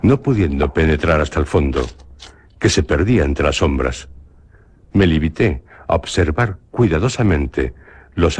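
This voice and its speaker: A man with a Spanish accent narrating a radio drama in a measured, literary storytelling manner. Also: low-pitched, a little mellow, husky. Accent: Spanish from Spain.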